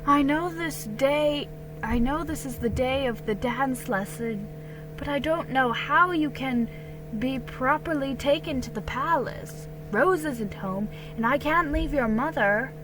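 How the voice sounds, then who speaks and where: A woman voicing a gentle, kindly colonial father, earnest and fond, in a dramatic storybook reading. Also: sits high, a little slow, music in the background, pitched above the performer's own voice, poor audio. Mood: regretful.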